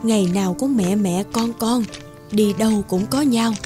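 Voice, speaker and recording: A kind, lonely older peasant mother from a cartoon fairy tale, acted by a woman, with a rather high voice. She fusses over others and soothes them in a self-sacrificing way, over faint music. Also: normal speed, dark tone, natural voice. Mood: wistful. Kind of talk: animated fairy tale voice acting.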